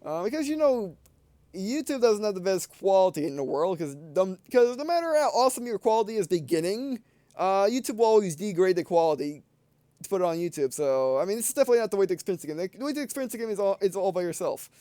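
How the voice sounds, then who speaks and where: High-pitched man rambling through game commentary. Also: big pitch swings, fast, warm tone, no room sound. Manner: rambling, hesitant, repeatedly self-correcting. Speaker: video game let's-play host. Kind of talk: casual unscripted game review commentary.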